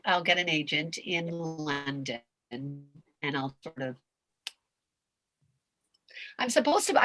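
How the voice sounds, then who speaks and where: A Canadian woman, a voice actress, chatting in an animated, rambling way over a video call as a Q&A guest. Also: fairly low pitch. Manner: animated, rambling, with frequent restarts. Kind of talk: casual chat in a video call Q&A.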